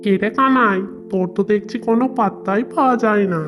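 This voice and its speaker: A woman voicing a cruel, greedy middle-aged male landlord in a cartoon, harsh and scornful, over loud background music. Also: mid-range voice, fast, close to an everyday voice.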